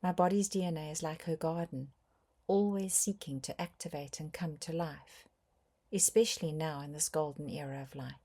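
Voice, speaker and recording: Low, mellow woman guiding a meditation. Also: fairly even intonation, normal speed. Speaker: meditation guide. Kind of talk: scripted guided meditation narration.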